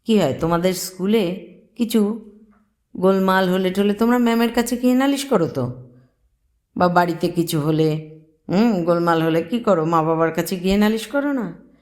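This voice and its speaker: A woman's voice: on the low side, moderate pace, long pauses, a little mellow. A woman telling a story to children, explaining simply and putting questions to her young listeners.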